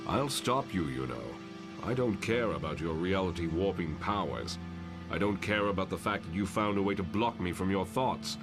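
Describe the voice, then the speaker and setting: A deep, measured professor over music. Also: male voice, expressive. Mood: resolute. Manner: measured, articulate, wry. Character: calm, fatherly older male telepath professor confronting his son. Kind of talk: dramatic motion comic voice acting.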